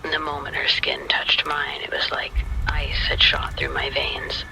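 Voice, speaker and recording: A man narrating a scripted spooky story in the first person, in a hushed, deliberate, suspenseful manner. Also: on the high side, big pitch swings, gravelly, whispered, low-quality recording.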